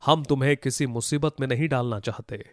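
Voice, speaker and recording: A man voicing a gentle, worried magical blue eggplant in a children's story, speaking earnestly. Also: medium pitch, fairly quick, no echo, clean studio sound, natural voice. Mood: concerned. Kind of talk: Hindi children's moral story voice acting.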